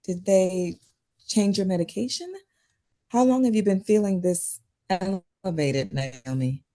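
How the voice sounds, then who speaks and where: A woman playing a concerned, guarded psychiatrist with a measured professional manner at a drama table read. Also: mid-range voice, warm tone, close to an everyday voice. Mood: calm concern. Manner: measured, professional, hesitant at times.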